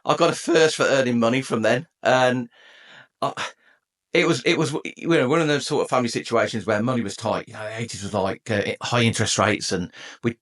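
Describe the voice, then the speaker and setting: A man's voice: mid-range voice, a little fast, fairly bright tone. A man from England in his forties, a podcast guest telling his own story in a chatty, jokey way. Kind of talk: conversational storytelling.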